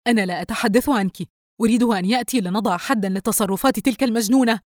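A woman's voice: a little high, very fast, darkish tone, dry recording, clean studio sound, close to an everyday voice. A woman voicing a stern, cold, fed-up mother who gives curt commands in a dramatised audio story.

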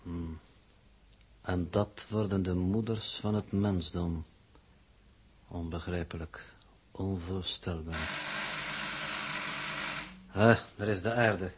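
An actor playing an aloof, sardonic space mission coordinator in a 1960s Dutch radio drama, speaking in a formal, dry, measured way. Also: male voice, low voice, fairly even intonation, slight background noise. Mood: cold, dry.

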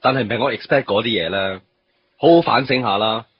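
An actor playing a harsh, dismissive male office boss in a Cantonese radio drama, with a rough voice and a Hong Kong accent peppered with English. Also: lowish voice, fairly quick, muffled recording, slight background noise, lightly acted. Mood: scolding. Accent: Hong Kong Cantonese with English words mixed in. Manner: stern, dismissive.

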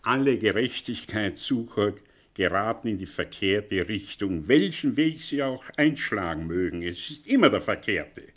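An actor playing a cynical, condescending professor in a radio drama, mocking and lecturing with rhetorical flourishes. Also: male voice, on the low side, expressive, measured pace. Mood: scornful lecturing. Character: cynical, condescending male professor with a choleric streak. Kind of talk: German radio drama acting.

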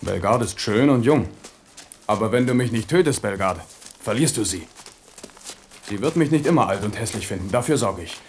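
An aging, battle-hardened French king in a radio drama. His voice sits fairly low and he speaks with brooding, stubborn resolve. A faint noise lies over the older studio recording. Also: expressive, fairly quick, pauses often. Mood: brooding, determined. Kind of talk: German radio drama acting.

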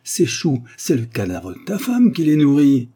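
Gruff, bossy village mayor played by a man. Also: medium pitch, normal speed, warm tone, lightly acted. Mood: exasperated. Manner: bossy, blunt, scolding. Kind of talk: literary audiobook dialogue.

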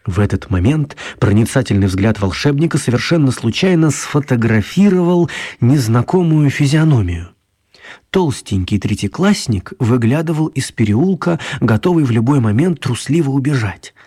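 A male actor as the storyteller of a children's radio play, narrating expressively in a husky voice. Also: medium pitch, animated, normal speed, dark tone. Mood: storytelling.

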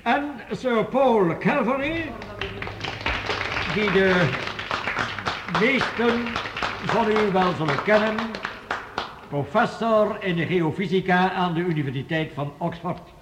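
A man acting a grave, formal chairman of a world council in a science fiction radio play, speaking in measured official phrases. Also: sits high, crowd noise. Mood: formal, courteous. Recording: hall reverb, noisy old radio recording.

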